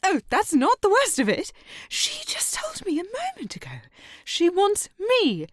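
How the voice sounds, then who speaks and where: A feisty servant woman played by a voice actress speaks with big, animated swings of pitch. She takes her time over her words, sassy and possessive, in audio drama style. The sound is close and dry. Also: measured pace, no room sound, full character voice. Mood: exasperated. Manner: sassy, indignant, theatrical. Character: feisty working-class servant woman, scheming, possessive lover. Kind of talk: dramatic audio roleplay acting.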